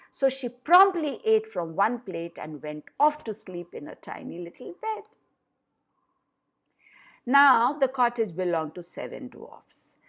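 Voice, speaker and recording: A woman narrating a fairy tale for children with deliberate, dramatic emphasis. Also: medium pitch. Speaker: storyteller for children.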